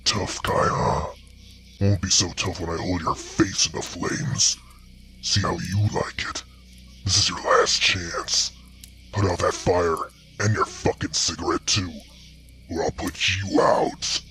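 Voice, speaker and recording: A ragged old bear growls out threats in a rough, raspy, very low voice, as a storyteller puts him on. The tone is bright and edgy in spite of the depth, with faint music underneath. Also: male voice, fairly even intonation, slow, exaggerated voice. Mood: menacing. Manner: scolding, menacing, profane. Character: gruff ragged old male bear in costume.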